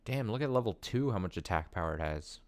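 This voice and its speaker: A man streaming a video game, chatting excitably and reading item descriptions aloud. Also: on the low side, fast, dry recording. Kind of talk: casual gameplay commentary.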